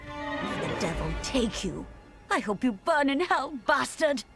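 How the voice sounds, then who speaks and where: A woman's voice: high-pitched, sing-song, unhurried, exaggerated voice. An actress playing a bereaved, vengeful old mother in a video game, wailing and cursing. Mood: outraged. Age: older. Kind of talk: dramatic video game acting. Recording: music behind the voice.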